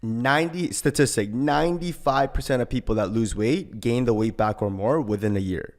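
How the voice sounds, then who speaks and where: A man's voice: medium pitch, moderate pace. A man from Korea who later moved to Canada, talking casually and emphatically as a podcast guest, often checking in with a tagged-on question. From born in Korea, later moved to Canada. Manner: emphatic, often tags sentences with "right?". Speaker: personal trainer and boxing coach, podcast guest.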